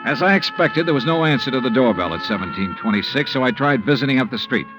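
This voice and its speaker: An actor playing a hard-boiled insurance investigator who narrates and questions people in a dry, clipped, matter-of-fact way, in 1950s radio drama style. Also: male voice, medium pitch, lively intonation, fast talker, natural voice. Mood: narrating. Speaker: narrator and lead detective. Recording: muffled old radio broadcast over music.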